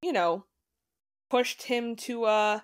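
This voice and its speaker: A woman's voice: on the high side, slow, crowd noise. A woman co-hosting a podcast, chatting casually with lots of asides and fillers. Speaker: podcast co-host. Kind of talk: casual chat.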